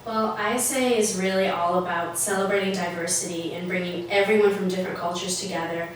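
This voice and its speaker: A young woman from Russia chats upbeat and easy, leaning on fillers as she explains her student club. Her voice sits a bit low and sounds distant, with strong room echo and a faint hiss behind. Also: fairly even intonation, brisk. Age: young adult. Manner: chatty, filler-heavy, upbeat. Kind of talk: casual interview. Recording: echoey room, mic far off.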